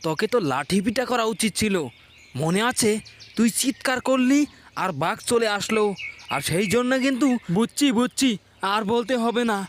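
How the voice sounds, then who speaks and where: Squabbling cartoon fox, voiced by a man: high male pitch, grumbling and cheeky, repeats himself, faint noise. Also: moderate pace. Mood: irritated, scolding. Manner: complaining, colloquial, repeats phrases. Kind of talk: cartoon character acting.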